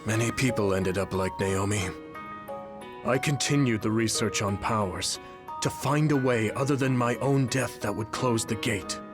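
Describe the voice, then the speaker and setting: An actor playing a weary, remorseful elderly leader in a video game, speaking in a measured, grave way. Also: male voice, on the low side, lightly acted. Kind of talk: dramatic video game voice acting. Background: music.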